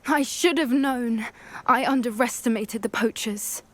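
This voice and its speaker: A woman's voice: a little high, measured pace. An actress playing an earnest young witch who cares about magical creatures in a video game. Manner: earnest, gentle. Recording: game audio with faint music.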